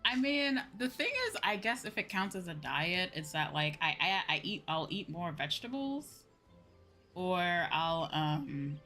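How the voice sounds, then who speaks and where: Low-pitched woman streamer chatting over music. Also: very animated, unhurried, sharp tone. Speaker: streamer hosting a live gaming stream. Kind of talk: casual chatty live game stream, chatting with viewers. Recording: music playing behind the voice.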